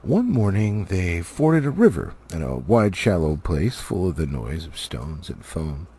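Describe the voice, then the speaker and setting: Highly animated, gravelly male storyteller. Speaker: amateur audiobook reader. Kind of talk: expressive storybook narration.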